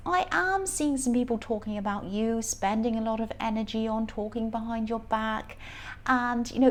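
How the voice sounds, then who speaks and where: Woman reading tarot cards, a bit croaky and higher pitched, rambly and laughs a lot. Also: a little mellow. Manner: chatty, rambling, laughs often. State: croaky voice from stress. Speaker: tarot reader. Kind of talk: casual tarot reading to camera.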